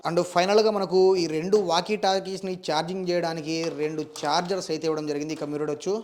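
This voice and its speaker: A man narrating an unboxing and review, explaining things step by step as the host. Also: a little high.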